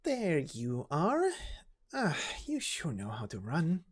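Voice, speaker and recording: A man voicing a menacing, taunting hunter in a game story, with a forceful manner. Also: a little high, huge pitch swings, slow, slightly rough, voice pushed up, full character voice. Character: menacing, taunting hunter cornering a fleeing enemy. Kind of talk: amateur game story voice acting. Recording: music behind the voice.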